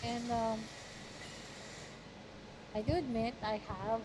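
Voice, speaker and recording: A woman's voice: on the high side, fairly even intonation, takes it slowly, noisy background. Filipino woman with a Tagalog accent. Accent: Batangas Tagalog. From Philippines. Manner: chatty, explains at length. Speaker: girlfriend on a call. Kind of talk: casual chat.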